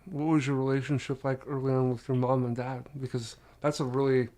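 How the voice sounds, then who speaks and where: Encouraging podcast host man, mid-pitched voice. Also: little pitch movement, a little fast, dark tone. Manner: affirming, encouraging, asks probing questions. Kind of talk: conversational interview hosting.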